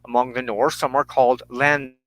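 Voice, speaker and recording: A man hosting a religious broadcast, giving a solemn, measured sermon of personal testimony and teaching with emphatic phrasing and deliberate pauses. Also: medium pitch, little pitch movement, fairly bright tone, no room sound.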